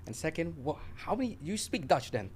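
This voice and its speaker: Curious, higher-voiced male interviewer. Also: sing-song, breathy edge. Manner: curious, laughs easily, halting questions. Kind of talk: casual interview questions.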